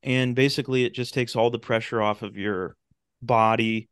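A man speaking as a podcast guest in casual interview chat, explaining things in a rambling, halting way. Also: medium pitch, steady tone, clear, resonant tone, dry recording. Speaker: podcast guest, talk show host and radio drama maker.

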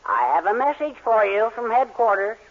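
A meek middle-aged husband in a 1940s radio sitcom. His voice sits on the high side and swings about as he hems and haws kindly. The old broadcast recording is dull and muffled. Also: very animated, moderate pace, a little hiss or hum. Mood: apologetic, hesitant. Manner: hesitant, hemming and hawing.

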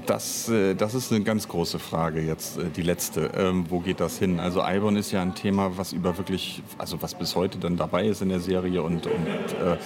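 A man giving unscripted interview answers in a rambling, halting way as a writer and director. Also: sits low, fairly even intonation, a crowd in the background. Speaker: interview guest, audio drama author and director.

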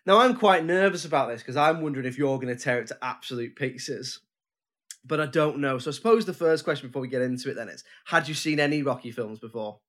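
A man's voice: mid-range voice, fast, fairly bright tone. A man co-hosting a film podcast, explaining his pick in a loose, rambling way with frequent restarts. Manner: rambling, hesitant with many restarts. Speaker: podcast co-host. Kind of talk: casual chat.

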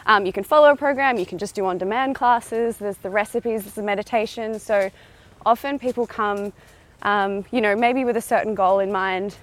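A woman's voice: medium pitch, moderate pace. Earnest chatty woman. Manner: earnest, chatty, many fillers like "like" and "kind of". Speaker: guest, entrepreneur. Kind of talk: casual interview answers. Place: outdoors in a city park, walking.

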